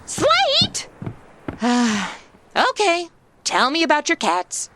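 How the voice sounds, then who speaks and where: Actress playing a sassy, lively American wisecracker. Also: female voice, high voice, very slow, heavy background noise, full character voice. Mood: exasperated, flippant. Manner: sarcastic, modern slangy delivery. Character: sassy modern young American woman tourist, flippant, wisecracking. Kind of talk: comedy audio drama acting.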